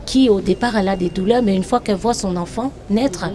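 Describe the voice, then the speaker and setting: A woman actress answering interview questions in a reflective, hesitant way with many pauses. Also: mid-range voice, a crowd in the background. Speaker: actress and comedian.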